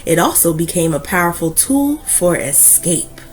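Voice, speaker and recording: A woman reads a children's storybook aloud in a lively, swooping voice. Her phrasing is deliberate and emphatic, as a read-aloud host would do it. Soft music plays faintly behind her. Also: lowish voice, rough recording.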